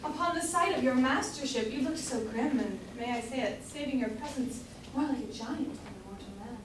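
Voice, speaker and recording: A woman acts a distressed, plaintive mother in an old stage comedy. The voice is high. It sounds distant and very echoey, with faint noise. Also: brisk, close to an everyday voice. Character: lamenting mother, a timid, distressed gentlewoman. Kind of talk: amateur staged reading of Jacobean comedy.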